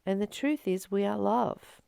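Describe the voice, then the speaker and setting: Woman doing spiritual readings, really slow n deliberate, gravelly fry in her voice, very dry close sound. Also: medium pitch, mellow, no echo. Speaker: host of a spiritual reading channel. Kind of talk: reading aloud with reflective spiritual teaching.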